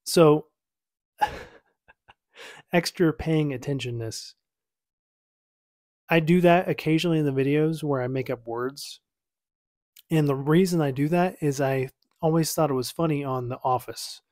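A man's voice: on the high side, measured pace, pauses often, darkish tone, no room sound, studio quality. Easygoing man rambling at his desk. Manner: rambling, self-correcting, easygoing. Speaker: YouTuber reacting to his own video. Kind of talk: casual reaction commentary. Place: small home office at night, lamp-lit.

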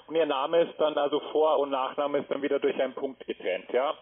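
A man's voice: a little high, fairly even intonation, a little fast, husky. A man working as a call center agent, polite and hesitant, full of fillers as he repeats details back. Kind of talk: phone call. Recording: phone-line audio.

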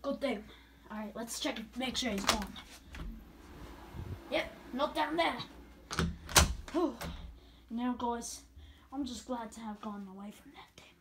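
High-voiced young woman, melodramatic, noisy handheld audio. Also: a little slow, gravelly, pauses often. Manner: playfully melodramatic. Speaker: vlog co-host. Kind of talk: improvised mock-horror found-footage skit. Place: dim carpeted home basement. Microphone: handheld camera. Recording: noisy handheld camera in basement.

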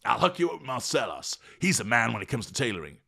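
A man putting on a loud, cocky young daredevil who whoops his lines in a high, bouncing voice that swings wildly up and down. He sounds breezy and oblivious, all slang and swagger, with a bright, edgy tone and a little gravel underneath. It is a storybook reading. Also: big pitch swings, a little fast, heavily acted. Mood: brash. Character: brash cocky young male thrill-seeker, boastful and oblivious.

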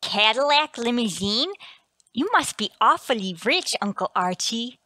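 A woman's voice: fairly high pitch, sing-song, a little slow, pushed and bright, no echo, full character voice. A woman playing a polite, precocious eleven-year-old boy in a radio comedy, speaking with proper, articulate diction. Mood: eager, curious.

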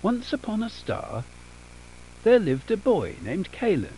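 A man playing a soothing children's storybook character, speaking in slow, drawn-out theatrical phrases. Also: high-pitched, wildly swooping pitch, mellow, slight background noise, exaggerated voice, rough recording. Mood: gentle storytelling. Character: soothing storybook narrator and coaxing goofy sleep fairy. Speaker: narrator of a children's bedtime story film.

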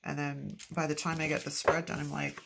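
Stuffy-nosed woman crafter, low gravelly voice, chatty self-talk, noticeable background noise. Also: level tone, fairly quick. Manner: chatty, talks to herself while working. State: stuffy nose from allergies. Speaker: planner decorating vlogger. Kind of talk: casual chatty crafting narration. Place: at a craft table, filmed from overhead.

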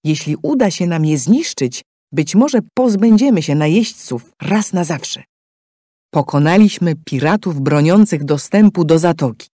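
A man's voice: a little high, expressive, measured pace, warm tone, dry recording, studio quality. A man giving scripted, dramatic narration for a game story in a solemn, declamatory manner.

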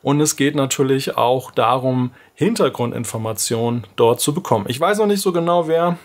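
A man's voice: medium pitch, moderate pace. A man in his forties hosting a casual tech vlog from a home office, chatty and thinking aloud with fillers. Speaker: tech video host. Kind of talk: casual talking-head vlog. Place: home office with desk and monitor. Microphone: camera framed at close head-and-shoulders.

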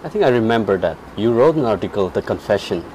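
A man from Nagaland hosting an interview, asking questions and giving soft murmurs of agreement. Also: lowish voice, lively intonation, fast, slight background noise, poor audio. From Nagaland, Northeast India. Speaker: interviewer, show host. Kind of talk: interview conversation.

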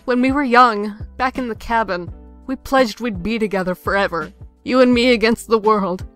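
A woman's voice: on the high side, moderate pace, fairly bright tone, soft background music, natural voice. A woman voicing a bitter, sarcastic estranged sister from fairy tales, biting and dramatic, in a comic dub. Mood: resentful.

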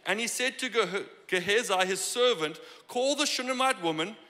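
A young man with a South African accent delivers a lively, joking sermon from a church stage. His voice sits high and bright. The handheld mic picks him up with a long hall reverb behind. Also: normal speed. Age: young adult. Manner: joking, animated, gestures freely while preaching. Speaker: guest preacher. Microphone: handheld microphone.

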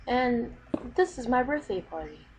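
A woman's voice: fairly high pitch, very animated, measured pace, pitched above the performer's own voice. A woman voicing a bossy wife hosting her own birthday party in a game roleplay, emphatic and self-important. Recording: noisy home recording.

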